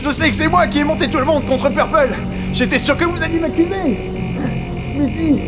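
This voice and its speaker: A man voicing a hot-tempered, sarcastic, foul-mouthed astronaut crewmate in an animated fan dub. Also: very high-pitched, normal speed, over loud music. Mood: panicked, pleading. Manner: sarcastic, crude, accusatory.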